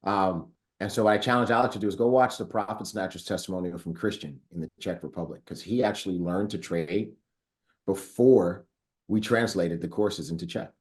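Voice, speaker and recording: A man with a fairly low voice hosts a live company training over a video call. He keeps things upbeat and casual, calling out viewers' chat comments with plenty of fillers along the way. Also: normal speed. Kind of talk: casual live webinar hosting.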